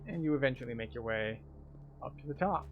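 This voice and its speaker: A man's voice: medium pitch, lively intonation, fairly quick, narrow, tinny audio, soft background music. A man giving casual, explanatory commentary as a tour guide through a video game.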